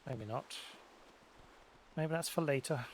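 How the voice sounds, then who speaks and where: A man giving casual let's play commentary, unhurried and half talking to himself as he plays. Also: medium pitch, slight background noise. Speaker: gaming streamer.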